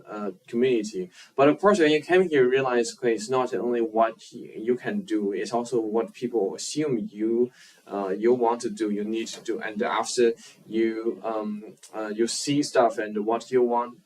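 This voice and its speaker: A filmmaker from China, a native Chinese speaker, answering interview questions in English with many pauses, fillers and restarts. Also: male voice, on the low side, a little mellow. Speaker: filmmaker guest. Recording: distant from the mic.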